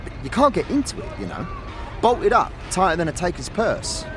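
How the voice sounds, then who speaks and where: A man's voice: high-pitched, sing-song, normal speed, music in the background, pitched above the performer's own voice. A man voicing a friendly, easygoing teenage boy smith who talks in street slang in a fantasy audio drama. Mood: friendly, chatty. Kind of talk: audio drama character acting.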